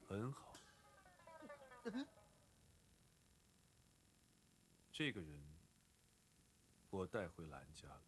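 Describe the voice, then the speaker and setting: Stoic young lord in anime drama, low voice, formal and curt, some rumbly noise behind. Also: male voice, big pitch swings, unhurried, fairly bright tone, full character voice. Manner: terse, formal, restrained. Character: stoic, righteous young nobleman. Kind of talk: animated period drama acting.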